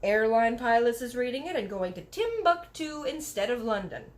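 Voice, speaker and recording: A woman voicing an excitable little boy who speaks in childlike broken grammar, in a storybook read-aloud. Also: on the high side, normal speed, close to an everyday voice. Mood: excited. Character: excitable little boy telling fanciful dreams.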